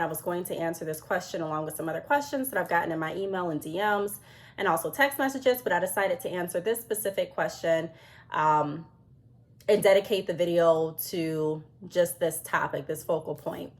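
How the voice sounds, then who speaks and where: A woman in her thirties with a somewhat low voice, audibly congested and under the weather. She talks to camera in a loose, chatty way, full of ums, and reads from her notes now and then. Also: normal speed. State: congested, sick with a cold. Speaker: business vlogger. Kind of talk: casual advice talk to camera.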